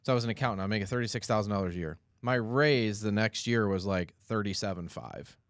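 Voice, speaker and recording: A man with a lowish voice talks fast and with animation in a casual podcast chat, and makes light jokes now and then. His voice is close-miked and completely dry. He often jumps higher in pitch when he stresses a point. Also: no room sound. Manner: animated, quick, lightly joking. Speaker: podcast co-host.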